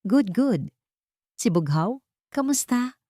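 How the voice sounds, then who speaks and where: A woman's voice: a little high, big pitch swings, dark tone, no room sound, clean studio sound, voice pushed up. A woman with a Filipino accent voicing a busy, caring adult son in a story. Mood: hurried, affectionate. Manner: hurried, caring. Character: busy, affectionate grown son, successful engineer. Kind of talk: storybook character voice.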